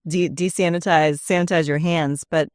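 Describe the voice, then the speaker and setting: A woman hosting a podcast interview, chatty and jokey with quick reactions. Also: sits low, fairly even intonation, dry recording, clean studio sound. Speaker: podcast host.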